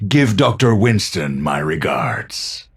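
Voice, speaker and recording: Husky, eccentric old scientist. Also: male voice, lowish voice, very animated, heavily acted. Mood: fond. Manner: halting, musing, theatrical. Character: eccentric old male scientist, dreamy and unhinged. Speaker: video game character. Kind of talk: video game voice acting.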